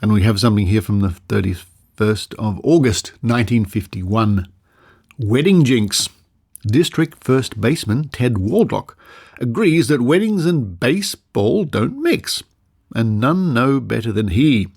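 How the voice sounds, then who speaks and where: Mature Australian male presenter, creaky mid-pitched voice, deliberate storyteller's read of archive news items. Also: lively intonation, darkish tone. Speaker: podcast presenter. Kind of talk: reading old newspaper articles aloud with asides.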